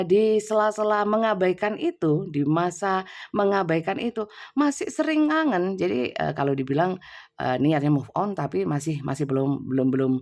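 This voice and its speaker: Woman tarot reader with a cold, gravelly and chatty, phone-mic sound. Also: fairly low pitch, darkish tone. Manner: chatty, many fillers and tag "ya". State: has a cold. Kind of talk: casual general tarot reading. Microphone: phone's built-in mic, no external mic.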